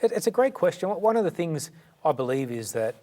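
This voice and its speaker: A man's voice: on the high side, very animated, a little fast, mellow. A middle-aged man with an Australian accent, born in India and raised in Sydney, giving earnest, fluent interview answers with a few restarts. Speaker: charity director, guest.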